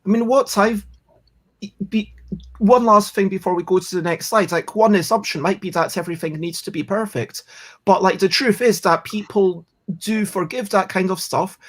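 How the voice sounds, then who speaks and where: A chatty host of a board game show, speaking at an ordinary middle pitch. They ramble casually, restart their sentences, and poke gentle fun at themselves as they go. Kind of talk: casual chat.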